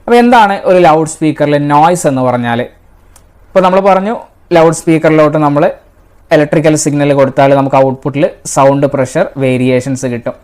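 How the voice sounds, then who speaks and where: A woman's voice: sits low, fairly quick, darkish tone. A woman with a Malayali accent giving an explanatory technical lecture in a didactic way, posing questions and repeating key points. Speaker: engineering instructor. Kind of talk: explanatory exam-prep lecture.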